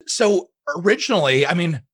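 A man's voice: high-pitched, animated, moderate pace, fairly bright tone, breathy edge, no echo, studio quality. A man speaking as an interview guest, chatting casually and telling personal stories, often quoting his own thoughts. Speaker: interview guest, digital marketing entrepreneur.

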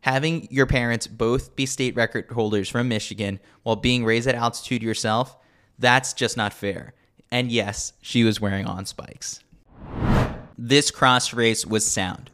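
A man's voice: medium pitch, steady tone, a little slow, fairly bright tone, clear, resonant tone. A man reading a scripted sports newsletter as a podcast host, with a wry, tongue-in-cheek manner.

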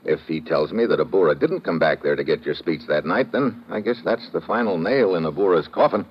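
An actor playing a tough, wisecracking detective in old-time radio drama, with clipped, slangy hard-boiled talk. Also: male voice, low-pitched, animated, brisk. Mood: determined. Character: tough wisecracking male detective, dogged and wry. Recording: dull vintage radio recording.

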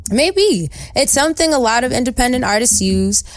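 A young woman singer-songwriter with a soft voice, chatting in an upbeat, slangy, bubbly way as an interview guest. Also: fairly high pitch, animated, normal speed, no room sound, studio quality. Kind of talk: casual interview chat.

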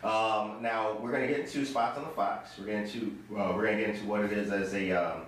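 A man's voice: lowish voice, steady tone, fast talker. A man hosting a casual interview, making small talk and asking his guests questions. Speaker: interview host. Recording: very echoey room, distant mic, faint noise.

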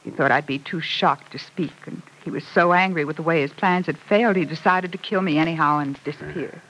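An actress playing a frightened, guilt-stricken wife in a 1940s radio soap opera, speaking in an earnest, dramatic way. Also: female voice, deep voice, a little fast, fairly bright tone, rough recording. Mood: anxious, explaining. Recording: old radio broadcast recording.

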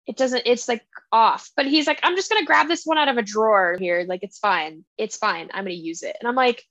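High-voiced, animated young woman. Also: brisk, no echo, muffled recording. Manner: animated, laughing, acts out characters' lines. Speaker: podcast co-host. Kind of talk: casual chat about books.

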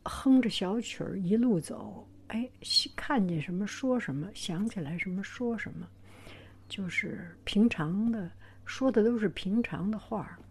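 A woman hosting her own channel, talking gently to her viewers in a soft whisper, hesitant and full of pauses. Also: mid-range voice, animated, husky. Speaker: channel host. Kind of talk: whispered vlog talk to viewers.

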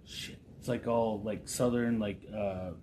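A man's voice: lowish voice, fairly even intonation, unhurried, warm tone. A man co-hosting a podcast in casual, slangy banter, swearing freely. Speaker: podcast co-host. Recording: noisy background.

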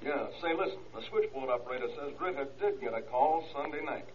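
An actor playing an eager, brisk, informal newspaperman heard through a telephone filter in a 1940s radio drama. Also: mid-range voice, expressive, lo-fi sound. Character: eager male newspaperman phoning in a tip. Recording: thin phone voice on old radio.

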